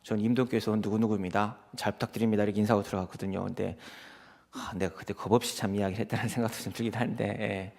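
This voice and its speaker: A man's voice: lowish voice, very fast, vocal fry. A man, preaching a conversational sermon full of personal anecdotes and acting out quoted exchanges. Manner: animated storytelling, acts out quoted exchanges. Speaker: associate pastor preaching.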